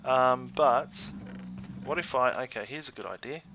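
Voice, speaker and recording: An Australian man giving casual, chatty let's play commentary in an easygoing way, thinking out loud as he goes. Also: medium pitch, measured pace, gravelly, background noise. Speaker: gaming YouTuber.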